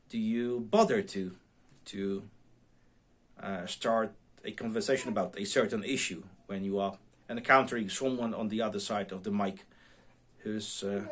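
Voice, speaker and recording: Halting non-native English-speaking man, chatting casually. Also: lowish voice. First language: non-English, self-taught English speaker. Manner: halting, searching for words, repeats word starts. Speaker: amateur radio vlogger. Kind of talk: casual unscripted talk to viewers. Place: home radio room.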